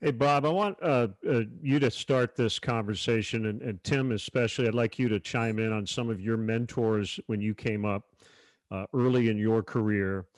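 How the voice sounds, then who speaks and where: A man's voice: lowish voice, normal speed, no echo. A man moderating a panel discussion in a conversational way, addressing the other guests. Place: virtual panel over video call.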